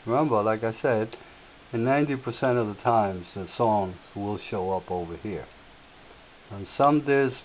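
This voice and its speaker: A man with a slightly raspy, fairly low voice walks through a computer program one step at a time, slow and patient. He pauses on little filler words as he goes. The sound is thin and tinny, with a faint hiss behind it. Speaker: tutorial host. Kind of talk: screen-share software tutorial.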